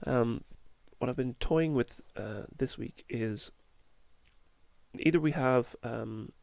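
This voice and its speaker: A man losing his voice, talking through market charts in a halting way, with many fillers and self-corrections. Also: medium pitch, vocal fry, a little mellow, dry recording. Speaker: market analyst. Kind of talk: chart walkthrough.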